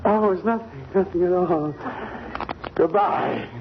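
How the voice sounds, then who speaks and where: A man's voice: sits high, slow. An actor playing a sheepish middle-aged husband and father in a 1940s radio comedy, stammering and hesitant. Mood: nervous. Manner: stammering, hesitant excuse-making. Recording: muffled, hissy 1940s radio recording.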